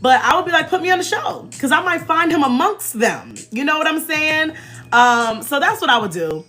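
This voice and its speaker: An American woman in her forties hosting a casual, chatty livestream with animated, emphatic delivery and informal slang. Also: sits high, normal speed. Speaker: livestream host. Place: small indoor room, backdrop behind. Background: faint music.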